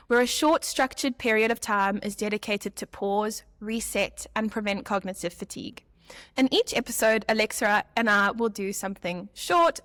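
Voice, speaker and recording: An upbeat woman in her twenties from South Africa co-hosts a podcast, her voice sitting a bit high. She reads her lines with a lively, scripted flow, and the recording is dry and close with no room sound. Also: no room sound. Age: 20s. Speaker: podcast co-host.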